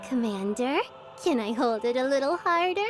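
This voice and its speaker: A woman's voice: high voice, lively intonation, normal speed. A woman voicing a playful, clingy young android soldier girl in a game. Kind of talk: game voice acting. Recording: game audio over music.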